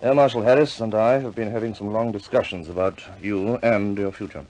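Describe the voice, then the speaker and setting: An actor playing a middle-aged senior RAF commander in a 1950s British war film, speaking in a measured, clipped British accent with firm but kindly authority. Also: male voice, lowish voice, darkish tone, close to an everyday voice, rough recording. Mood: firm, formal.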